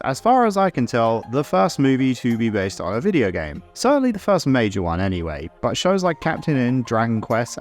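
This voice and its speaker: A man narrating a scripted video essay in a conversational, opinionated way, with casual asides. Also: medium pitch. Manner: conversational, opinionated, mildly sweary.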